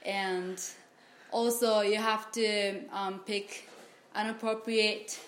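A woman's voice: medium pitch, slow pace. A woman whose first language is Japanese, an interpreter and teacher, chatting casually in an interview with many "like" fillers and frequent laughs. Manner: relaxed, fillers like "like", laughs often. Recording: echoey room with faint noise.

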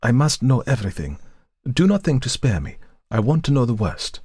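A man voicing a calm, cold foreign woman in an audiobook, quiet and deliberate, with hatred smoldering underneath. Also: medium pitch, slightly rough, dry recording, studio quality, pitched above the performer's own voice. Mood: composed, probing. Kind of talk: audiobook character voice.

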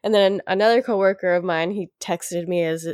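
A young woman from the United States with a congested, nasal voice, short of breath, talking slowly and quietly in a casual chat. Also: on the low side, fairly even intonation, no room sound, clean studio sound. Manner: talks slower and quieter, winded. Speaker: sick friend.

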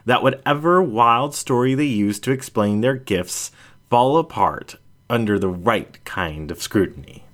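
A man narrating a first-person story from a script in a measured, deliberate way with emphatic phrasing. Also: mid-range voice, fairly bright tone. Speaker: audiobook reader.

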